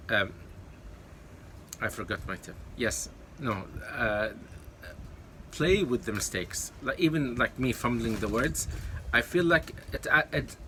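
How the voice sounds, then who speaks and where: A man's voice: mid-range voice, background noise. A man from Kuwait whose first language is Arabic, giving casual encouraging advice to camera, with many fillers and self-corrections. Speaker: YouTube creator.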